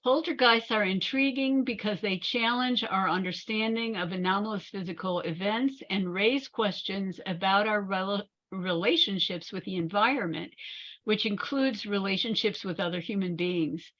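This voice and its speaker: A woman's voice: mid-range voice, a bit bright, highs cut off. A woman moderating an online session, reading an abstract aloud with small stumbles before handing over to the speaker.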